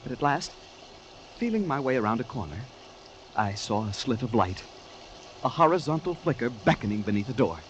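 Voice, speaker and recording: A man's voice: medium pitch, big pitch swings, normal speed, long pauses, dull audio, natural voice. Man acting a hapless American tourist, old noisy radio. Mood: tense narration. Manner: hesitant, stammering in dialogue, dramatic when narrating. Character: hapless, polite American tourist narrating his misadventure. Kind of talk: 1940s radio drama acting. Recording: noisy vintage 1940s radio broadcast.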